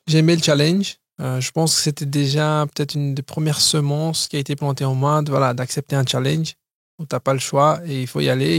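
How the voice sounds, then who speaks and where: A man's voice: on the high side, creaky, no echo, clean studio sound. A man in his thirties, originally from Brazil, telling his story as a guest in a casual podcast interview, with frequent hesitations and restarts. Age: 30s. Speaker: guest entrepreneur.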